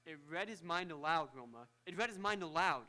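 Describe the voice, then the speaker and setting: A man playing a proud, excitable inventor doctor in old-time radio drama style, with fussy, precise technical diction. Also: fairly high pitch, brisk. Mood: triumphant. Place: live on an auditorium stage.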